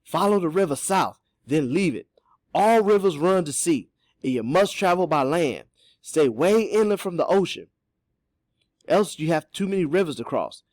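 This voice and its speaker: A man's voice: on the high side, expressive, moderate pace, a little mellow, dry recording, pitched above the performer's own voice, natural voice. A man voicing an old enslaved Southern man in an audiobook, sly and hopeful, giving urgent, folksy counsel. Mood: grave. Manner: whispering Southern dialect speech, urgent and folksy.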